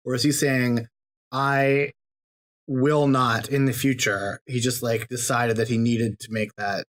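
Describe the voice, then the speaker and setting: A man's voice: medium pitch, steady tone, unhurried, a bit bright. A man appearing as a guest on a podcast, chatting casually in a wry, questioning way. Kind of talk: casual chat.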